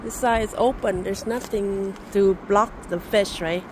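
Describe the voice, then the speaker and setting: A woman's voice: medium pitch, steady tone, measured pace, noisy background. A woman with a Vietnamese accent, a native Vietnamese speaker, chatting casually to her viewers while fishing by a river at night, laughing often. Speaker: vlogger. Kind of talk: casual vlog chat to viewers.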